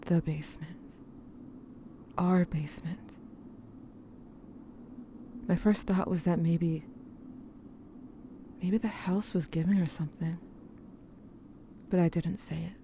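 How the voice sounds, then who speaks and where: Woman narrating as a quiet, reflective storyteller. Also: lowish voice, fairly even intonation, unhurried, creaky, long pauses, a little hiss or hum. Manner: quiet, reflective, often whispery. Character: adult narrator looking back on childhood. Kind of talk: first-person narration in audio drama.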